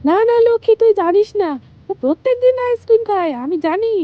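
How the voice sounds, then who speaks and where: A woman's voice: very high-pitched, expressive, fast, narrow, tinny audio. A woman voicing a cautious, admonishing female character in a children's doll story, earnest and fretful. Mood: scolding.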